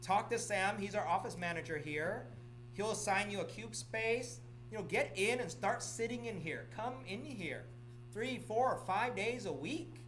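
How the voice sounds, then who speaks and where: A man's voice: high-pitched, fairly bright tone, slight background noise, low-quality recording. A middle-aged man from Vietnam gives announcements at an office meeting, repeating himself and often checking in with "okay?". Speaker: real estate office manager or broker.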